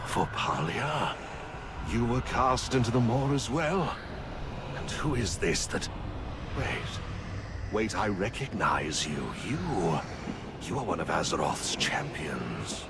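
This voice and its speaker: An actor playing a noble, weary but resolute prince, measured and dignified, in a video game cinematic. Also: male voice, lowish voice, big pitch swings, full character voice. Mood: weary, surprised. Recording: clear background noise and ambience.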